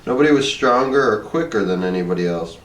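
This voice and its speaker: A man reading a short story aloud as an expressive narrator. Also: fairly low pitch, fast. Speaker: story reader. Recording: echoey room, distant mic, faint noise.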